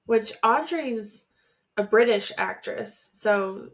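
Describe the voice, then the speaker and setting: A woman's voice: fairly high pitch, takes it slowly. A woman co-hosting a podcast, talking casually and conversationally, often agreeing with her co-host. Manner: conversational, agreeable, hedging with fillers. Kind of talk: casual chat.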